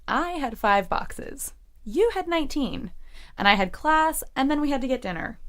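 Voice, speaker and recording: A woman's voice: high-pitched, animated, normal speed. A young woman acting in an audio drama as a defiant, lovestruck eighteen-year-old college girl. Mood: affectionate.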